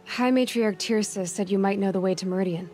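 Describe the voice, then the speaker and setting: A woman's voice: mid-range voice, brisk, dark tone, natural voice. A woman playing a determined, self-reliant young huntress in a video game, often half-muttering to herself. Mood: resolute. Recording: music behind the voice.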